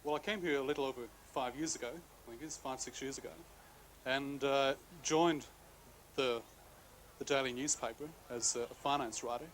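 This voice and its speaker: A man from Ireland with an Irish accent softened by years in Australia, a journalist answering interview questions with many hesitations. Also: medium pitch, steady tone, moderate pace, a little hiss or hum, poor audio. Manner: hesitant, many fillers and restarts. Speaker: political journalist.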